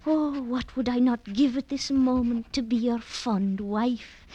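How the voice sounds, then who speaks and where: High-voiced, unhurried young romantic lady. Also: fairly even intonation, dark tone, lo-fi sound. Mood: ardent. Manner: theatrical, drawn-out romantic delivery. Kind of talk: old-time radio drama acting.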